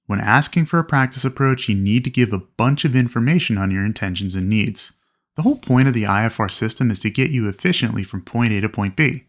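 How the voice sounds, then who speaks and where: A man narrating an instructional lesson as a flight instructor, explaining things clearly and methodically. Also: lowish voice. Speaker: flight instructor presenting a training video. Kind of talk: instructional narration with acted radio calls.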